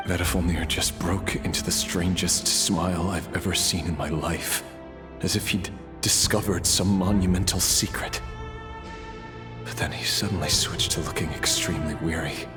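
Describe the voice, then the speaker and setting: Man acting earnest, low-voiced fantasy game hero. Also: steady tone, moderate pace, music in the background, close to an everyday voice. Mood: grave narrating. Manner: earnest, intense, formal phrasing. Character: anguished, devoted young male fantasy hero, desperate and defiant. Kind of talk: dramatic video game acting and narration.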